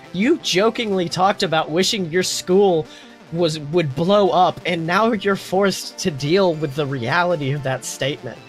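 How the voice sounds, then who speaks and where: Higher-voiced man hosting over music. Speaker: podcast host; game master. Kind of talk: improvised second-person fantasy storytelling and podcast chat.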